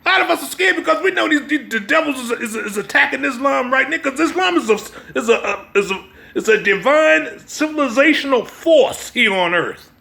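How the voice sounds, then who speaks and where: Male American preacher, forceful bright tone with creaky edge, urgent exhortations, off-the-cuff teaching. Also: extremely high pitch, lively intonation, brisk. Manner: emphatic, exhortative, repeatedly checking listeners understand. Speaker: religious teacher. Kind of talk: impromptu sermon with brief reading.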